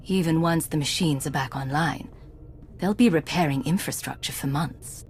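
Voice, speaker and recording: An actress playing a composed, calculating secret agent and commander in a video game, with a husky voice and measured, restrained delivery. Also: female voice, deep voice, a little mellow, slight background noise, lightly acted. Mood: guarded, cool. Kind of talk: video game cutscene acting.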